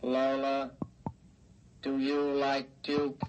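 A man's voice: mid-range voice, background noise. A man playing an obedient, menacing, childlike robot in a 1950s radio drama, speaking in stilted short phrases. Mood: flat, insistent. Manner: monotone, slow, stilted short replies.

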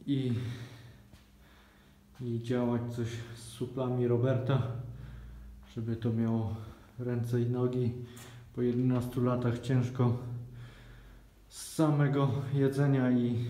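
A young man talks through his workout in a relaxed, even voice, his tone soft and mellow. He is recorded on a phone in a small indoor gym, and the hard room gives the sound a clear echo. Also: medium pitch. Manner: relaxed, explains as he trains. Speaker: vlogger. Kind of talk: casual gym vlog. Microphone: phone camera on a stand.

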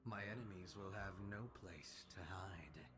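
A man's voice: sits low, unhurried. A man voicing a cold, arrogant young assassin in a video game, speaking in clipped, menacing, self-assured lines. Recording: clear music under the lines.

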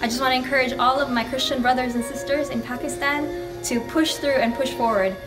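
A woman's voice: high-pitched, fairly even intonation, fairly quick, far from the mic, over music, low-quality recording. A young woman from the USA and the Philippines giving an encouraging message to camera in a hallway. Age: young adult. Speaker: youth delegate. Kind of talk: recorded greeting to camera.

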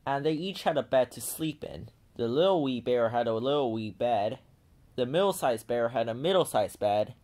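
A man narrating a children's storybook in an animated read-aloud style. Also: medium pitch, moderate pace.

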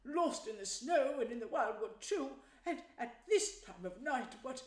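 A woman voicing a gruff, paternal old male badger in a storybook reading, with a blustery manner. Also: high-pitched, lively intonation, a little slow, a little mellow, reverberant, pitched above the performer's own voice. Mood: warm surprise.

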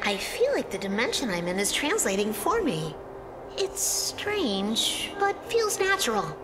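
A woman's voice: on the high side, huge pitch swings, unhurried, noisy background. A woman playing a sweet, caring young female hedgehog in a video game, speaking earnestly and expressively. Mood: earnest, tender. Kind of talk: video game cutscene acting. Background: loud game music.

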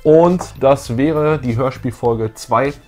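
A man's voice: medium pitch, slow, darkish tone, faint music behind. A middle-aged man co-hosting a retro review show in casual, unscripted chat. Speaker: co-host of retro audio play review show. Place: small indoor room full of posters.

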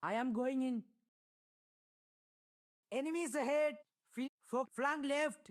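A man voices short game callouts and catchphrases. He spaces the words out at a slow pace, as lines from a voice pack. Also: very high voice.

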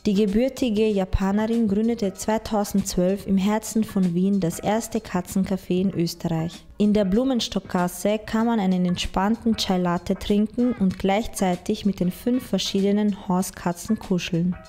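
A woman's voice: medium pitch, steady tone, normal speed, few pauses, warm tone. A woman reading scripted narration for a TV magazine report. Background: faint music.

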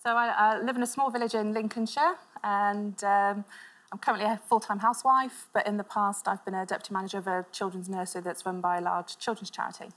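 A woman's voice: medium pitch, fairly even intonation, fast talker, fairly bright tone. Giggly woman contestant in a studio. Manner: a little shy, giggly. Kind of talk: casual chat on a game show. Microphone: lapel.

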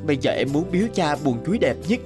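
A humble young village son in a cartoon fable. He sounds mild, polite and sincere. Music plays clearly beneath his words. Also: fairly high pitch, big pitch swings, fast talker. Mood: earnest, polite. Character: humble, earnest, dutiful young peasant son. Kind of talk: animated folk tale dialogue. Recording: music bed behind the voice.

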